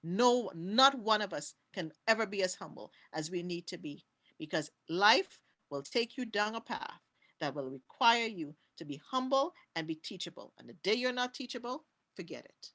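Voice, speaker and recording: A woman's voice: mid-range voice, animated, moderate pace, a bit bright. A woman with a Trinidadian accent speaking passionately on a conference panel, repeating her key words for emphasis over a video call. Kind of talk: impassioned talk on a panel.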